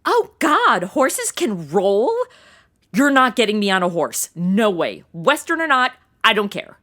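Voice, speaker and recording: A woman's voice: mid-range voice, sing-song, measured pace, fairly bright tone. A woman playing a sassy modern young woman in a comedy audio drama, sarcastic, blunt and exasperated. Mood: incredulous. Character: sassy modern young woman stuck in old radio westerns.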